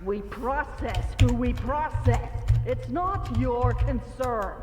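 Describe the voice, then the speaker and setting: A man's voice: very high-pitched, slow. A man playing an angry, impatient pony factory boss who barks and scolds in a dramatized story. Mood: scornful, curt. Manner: barking, scolding, scornful. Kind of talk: dramatized fan fiction reading. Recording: long echoing hall, faint music behind.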